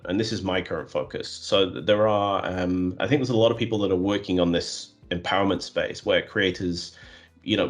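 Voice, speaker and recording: A man with a very deep voice who talks in an even, flat delivery, circling back through fillers and self-corrections. He sounds like a guest in a relaxed interview. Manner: self-described flat, monotonous voice; many fillers and restarts. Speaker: guest, custom visual developer. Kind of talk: conversational interview.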